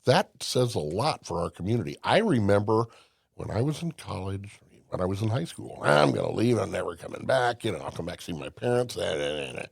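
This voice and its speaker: A man's voice: fairly low pitch, big pitch swings, fairly quick, gravelly, breathy edge, no room sound, studio quality. An older man from Indiana, a podcast guest telling folksy stories with emphasis and frequent restarts. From Indiana, USA. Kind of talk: casual conversation.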